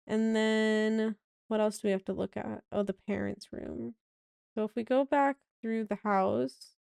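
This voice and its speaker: A young woman with a raspy voice, casually narrating a tour of a house she built in a game, rambling with many fillers. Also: fairly high pitch, mellow, dry recording, studio quality.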